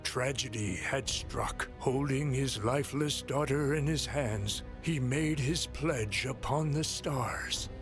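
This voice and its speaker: A man playing a solemn narrator who tells a dark pirate legend in a measured, dramatic scripted style. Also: mid-range voice, lightly acted. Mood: solemn, foreboding. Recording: music under the narration.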